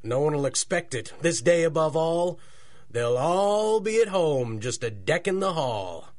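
A man's voice: on the high side, animated, unhurried, darkish tone. An actor playing a villainous, greedy gangster boss in a rhymed radio drama, talking like a slangy tough guy. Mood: scheming.

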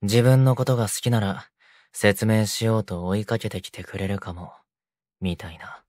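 A man voicing a considerate, gentle young delinquent who keeps the peace, in an audio drama. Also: fairly low pitch, normal speed, no echo, studio quality, close to an everyday voice. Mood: gentle, concerned. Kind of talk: Japanese boys' audio drama.

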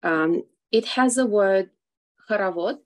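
Slow-speaking woman poet. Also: medium pitch. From Russia. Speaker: poet at an open mic. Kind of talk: poetry reading with a short spoken introduction. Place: small indoor room.

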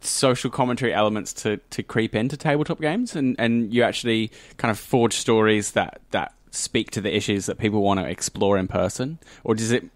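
A man's voice: on the low side, brisk, hardly any pauses, dry recording. A man, hosting a casual podcast interview. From Australia. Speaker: podcast host.

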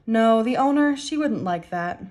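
A woman's voice: on the high side, animated, unhurried, a little mellow. A woman reading the part of a shy male pet shop clerk in a story, hesitant, mumbling and unsure. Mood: reluctant.

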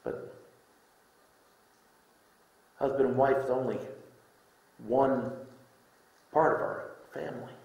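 Man, middle-aged, preacher, medium pitch, deliberate phrasing, sermon delivery, echoey indoor room. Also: gravelly, a little mellow, dull audio. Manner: deliberate, with pauses between short phrases. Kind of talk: sermon with scripture readings. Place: indoor room, speaking from a lectern.

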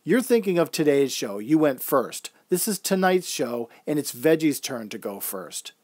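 A man voicing a calm, fair-minded veteran female clown who leads the troupe, in a radio-style story. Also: a little high, moderate pace, no echo, studio quality. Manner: calm, authoritative.

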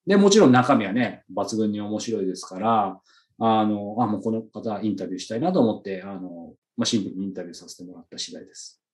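A man's voice: fairly low pitch, animated, fast talker, a little mellow. A man hosting an interview podcast, chatting in a casual, informal way. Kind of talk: casual chat.

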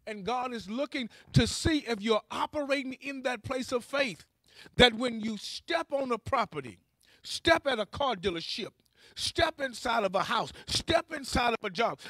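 A man's voice: very high voice, fairly bright tone, dry recording. A man preaching a charismatic sermon in a fervent, emphatic way, exhorting his listeners and repeating key phrases.

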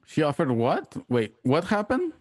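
A man's voice: fairly high pitch, wildly swooping pitch, a little slow, slightly rough, no room sound, clean studio sound, exaggerated voice. Tender frontier husband, acted by a man. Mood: alarmed. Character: tender, concerned frontier husband who mixes in Spanish endearments. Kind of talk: radio drama acting.

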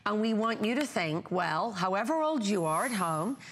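A woman in her fifties gives a chatty, emphatic home shopping pitch, piling up vivid descriptive words as she talks to viewers. Her voice sits in a middle pitch, picked up cleanly on a lapel mic in a bright TV studio. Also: expressive, moderate pace, a little hiss or hum. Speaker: brand founder presenting on a shopping channel.

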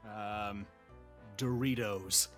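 A man's voice: lowish voice, steady tone, drawn-out words, fairly bright tone, soft background music. A man chatting casually as a guest player in a party game. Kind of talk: casual chat, hesitant answering.

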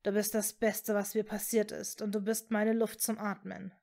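A woman voicing a man deeply in love, hushed and pleading, in a fantasy audiobook. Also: flat delivery, normal speed, vocal fry, natural voice. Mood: adoring.

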